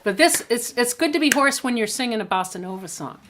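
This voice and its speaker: A woman co-hosting a live music stream, singing and chatting casually, a little hoarse, sharing one microphone. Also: on the high side, lively intonation, quick pace, background noise. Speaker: singer and co-host of a music livestream. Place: home livestream setup. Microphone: shared stand microphone. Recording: loud music behind the voice.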